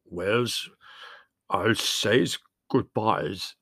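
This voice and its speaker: A man voicing a big, gruff Greek man speaking slow, broken English with a quaver. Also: on the low side, a bit bright, dry recording, clean studio sound, heavily acted. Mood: tearful.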